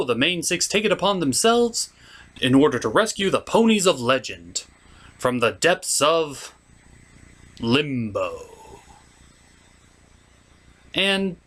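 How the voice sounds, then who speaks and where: Animated male comic reviewer. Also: a little high. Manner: theatrical, slipping into character voices. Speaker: reviewer and puppeteer. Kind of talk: scripted comic episode recap.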